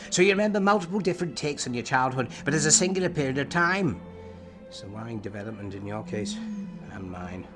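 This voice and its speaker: A man plays an enigmatic, probing therapist in a dramatic audio drama. His mid-pitched voice is measured, formal and analytical, with music clearly audible behind it. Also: animated, close to an everyday voice. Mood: intrigued, uneasy. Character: probing, enigmatic male therapist to an alien patient. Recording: music under the voice.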